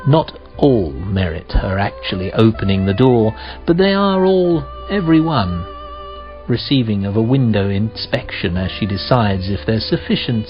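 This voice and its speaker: A man narrating a radio play as a deliberate, musing storyteller, with rhetorical pauses and questions. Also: lowish voice, natural voice. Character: measured, musing male storyteller of a mystery tale. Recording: slightly dull audio with faint music.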